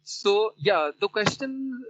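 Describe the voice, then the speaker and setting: A man's voice: high voice, animated, takes it slowly, noisy background. A man with an Indian English accent, a native Hindi speaker, chatting thoughtfully in conversation practice with many fillers and restarts. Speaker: learner.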